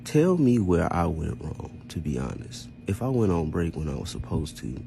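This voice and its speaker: Low-voiced man telling an animated story. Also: moderate pace, vocal fry, background noise. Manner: aggrieved, emphatic, rhetorical questions, acts out exchanges. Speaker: storyteller recounting own experience. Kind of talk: casual first-person storytime.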